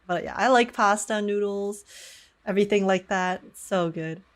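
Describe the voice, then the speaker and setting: A woman's voice: medium pitch, unhurried. A young woman chatting casually as she livestreams a game, with a giggly, slangy manner. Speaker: live streamer.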